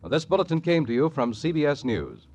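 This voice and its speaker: Higher-pitched formal American newsman. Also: very animated, a little mellow. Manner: formal, measured. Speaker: news announcer. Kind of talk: 1950s radio news bulletin, scripted.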